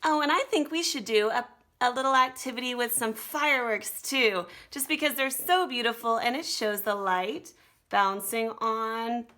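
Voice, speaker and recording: A woman with a bright, fairly high voice gives a children's art lesson. She talks straight to her young viewers, encouraging them and explaining each step of the drawing as she goes. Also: moderate pace. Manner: encouraging, instructional, speaking directly to young viewers. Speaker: art teacher leading children's activity.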